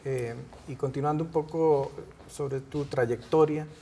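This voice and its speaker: A middle-aged man hosting a studio interview, asking measured questions with frequent backchannels. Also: fairly high pitch, fairly even intonation, mellow, a little hiss or hum. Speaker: TV interviewer host.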